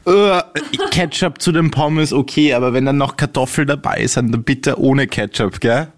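A man's voice: mid-range voice, moderate pace. A man with an Austrian accent hosting a podcast, bantering emphatically in casual chat. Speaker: podcast host.

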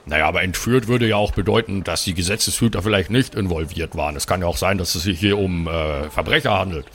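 A polite, earnest adventurer, played by a man, with a lowish voice. He negotiates hesitantly and wordily in an improvised fantasy audio play, over faint noise. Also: fast talker, a bit bright. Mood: polite, probing.

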